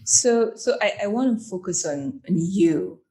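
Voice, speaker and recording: A woman's voice: mid-range voice, animated, measured pace, mellow. A woman host from Cameroon asks probing questions in a casual interview, often repeating words as she starts. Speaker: podcast host.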